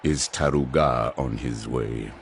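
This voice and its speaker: An actor playing a grim, cold, proud berserker champion of a Chaos warband in an audio drama, speaking in subdued, menacing tones with a gravelly voice. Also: male voice, slight background noise, exaggerated voice. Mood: cold, flat. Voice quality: gravelly, very deep. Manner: subdued, slow, menacing delivery.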